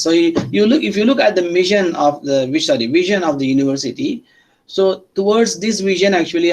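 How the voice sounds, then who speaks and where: A man's voice: fairly high pitch, a little mellow, poor audio. A male university lecturer who speaks English as a second language, teaching interactively with repeated phrases and frequent checking questions to students. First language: not Arabic or English.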